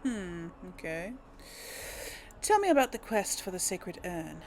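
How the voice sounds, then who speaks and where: A woman's voice: on the low side, very animated, a little slow, a little mellow, slight background noise. A woman hosting a gaming video, musing to herself and reading dialogue choices aloud in casual role play. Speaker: gaming video host playing in character.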